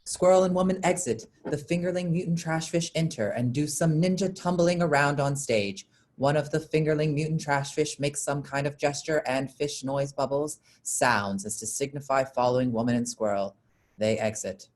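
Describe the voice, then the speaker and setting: Female stage-directions reader for a play reading, deep-toned, scripted narrator delivery. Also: moderate pace, a little mellow.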